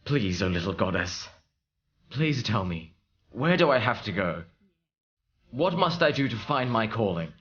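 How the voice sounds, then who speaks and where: A low-voiced, weary seeker acted by a man in a radio play, in a slightly dull recording. He speaks with theatrical reverence and halting pleas, his pitch moving widely. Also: big pitch swings, fairly bright tone. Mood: pleading. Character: earnest, weary wandering male seeker in awe. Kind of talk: audio drama acting.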